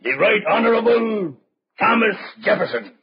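An actor playing a stern, threatening colonial henchman with a gravelly voice and archaic, imperious speech in an old-time radio drama. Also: high voice, very animated, moderate pace, crowd noise. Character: menacing armed colonial-era henchman, stern and commanding. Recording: dull, noisy old radio recording.

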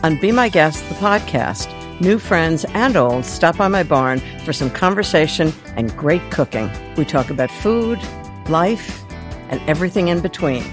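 A woman's voice: low voice, lively intonation, moderate pace. A woman reading a scripted podcast promo in an inviting way. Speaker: podcast host in an ad. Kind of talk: scripted ad read. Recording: clear guitar music behind. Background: guitar music.

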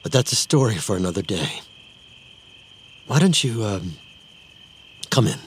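A man playing a gentle, flustered middle-aged ex-husband in an audio drama, halting, earnest and polite. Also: lowish voice, sing-song, a little hiss or hum. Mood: hesitant, polite. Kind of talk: naturalistic audio drama acting.

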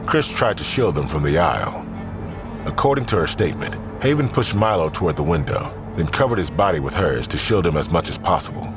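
Male narrator for a crime audio drama: very low register, animated contours, grave measured read, faint score. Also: over music. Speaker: narrator of a fiction podcast.

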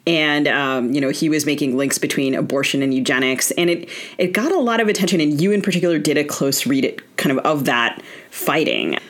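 A woman with an extremely low-pitched voice hosts an interview podcast. She puts her questions conversationally, with tentative and halting phrasing. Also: expressive.

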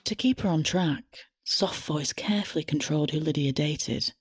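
A woman with a husky voice, narrating a scripted satirical story in a deadpan, matter-of-fact way. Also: sits low, moderate pace. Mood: wry, deadpan. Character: wry female storyteller narrating a satirical tale.

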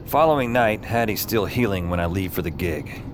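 An actor playing a haunted, wry male narrator in audio fiction, with a deadpan, weary, confessional delivery. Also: low voice, normal speed, background noise. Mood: brooding. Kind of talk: first-person noir-like audio fiction narration. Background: music under the voice.

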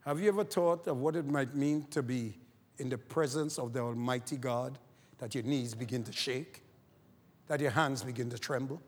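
A man from Barbados with a Barbadian accent, preaching a sermon, moving from quiet scripture reading to fervent exhortation. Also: medium pitch, moderate pace, husky. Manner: reads scripture quietly, then rises to fervent shouted preaching. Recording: long hall reverb.

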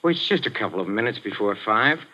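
An actor playing a sinister, fawning old boxing trainer who talks softly and giggles, in a 1940s radio drama. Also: male voice, lowish voice, expressive, fast. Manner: soft, ingratiating, giggling, menacing undertone. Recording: hissy lo-fi old radio recording.

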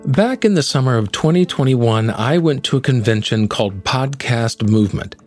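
A middle-aged man speaks as a podcast host. His delivery is polished and articulate, suited to scripted introductions and interviews. His pitch is mid-range, and there is faint music behind him. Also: expressive, normal speed, a little mellow. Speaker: podcast host, actor and singer.

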